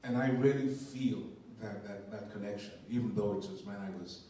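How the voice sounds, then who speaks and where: A man's voice: on the low side, fairly even intonation, mellow, distant mic, a little hiss or hum. Older man in an echoey hall. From Guyana. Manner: halting, repeats words, thoughtful. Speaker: stage actor on a talkback panel. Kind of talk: post-show panel answer. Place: theater stage.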